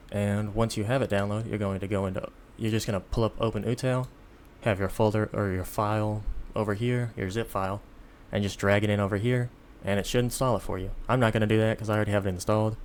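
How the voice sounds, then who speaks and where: Low-voiced man, casual tutorial delivery. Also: a little hiss or hum. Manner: informal, halting step-by-step delivery. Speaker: tutorial presenter. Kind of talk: casual unscripted software tutorial.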